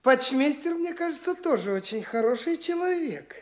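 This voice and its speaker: A woman's voice: sits high, moderate pace, roomy sound. A woman playing a boastful, flighty young man posing as an official, condescending to the locals. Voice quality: swaggering, lively, condescending. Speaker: actor in a radio play. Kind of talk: stage radio play acting.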